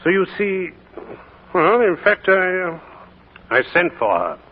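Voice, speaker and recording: An actor playing a kindly middle-aged vicar who speaks gently and earnestly, in 1940s radio acting style. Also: male voice, sits high, big pitch swings, measured pace, full character voice. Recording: tinny old radio broadcast with faint hiss.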